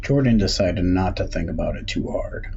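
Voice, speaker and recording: A man's voice: low voice, lively intonation, normal speed, darkish tone. A man narrating a suspense story as a scripted audiobook. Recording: dull recording with faint background noise. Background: faint ambient music or noise.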